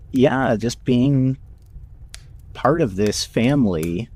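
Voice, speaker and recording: A man talking casually and reflectively as a podcast cast member and producer, telling his story in an unhurried way. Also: low-pitched, a little mellow. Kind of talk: casual chat.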